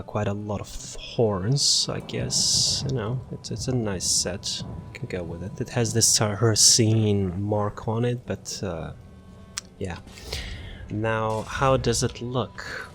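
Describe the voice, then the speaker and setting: Low-voiced man rambling through a game build. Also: creaky. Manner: rambling, halting, with many fillers and restarts. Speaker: gaming channel host. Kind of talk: casual game build walkthrough.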